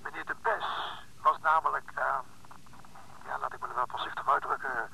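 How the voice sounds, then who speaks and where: A man playing a hesitant, fussy, discreet notary on the telephone in a Dutch radio play, speaking formally and haltingly. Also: medium pitch, fairly even intonation, creaky, sharp tone, a little hiss or hum, exaggerated voice, poor audio. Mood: careful, halting.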